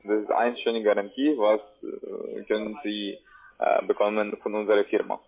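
A man with a foreign accent making a telephone sales pitch in halting, broken German with frequent repetitions, over a narrow phone line. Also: little pitch movement, vocal fry. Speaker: telephone sales caller pitching trading investments.

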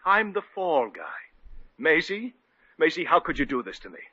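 An actor playing a melodramatic, protesting young-hearted stage actor in a 1940s radio comedy. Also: male voice, a little high, huge pitch swings, moderate pace, pushed and bright, husky, dry recording, heavily acted. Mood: indignant. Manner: protesting, theatrical. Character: indignant, melodramatic struggling actor, wrongly accused. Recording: dull vintage radio recording.

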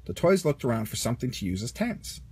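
A man narrating a children's storybook as an expressive read-along. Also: mid-range voice, quick pace, husky. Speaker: storybook reader.

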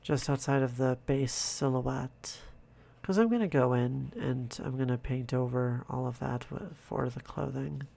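A man quietly narrating his own drawing process, in a soft-spoken, calm way, thinking out loud. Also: medium pitch, measured pace, vocal fry. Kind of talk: casual relaxed commentary over drawing.

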